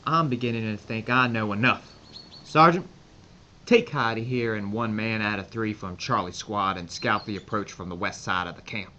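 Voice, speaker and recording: An actor playing a brisk, authoritative American army major with an American accent in an audio drama. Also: male voice, fairly low pitch, pushed and bright. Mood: firm. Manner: authoritative, terse. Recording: dull lo-fi recording with faint noise.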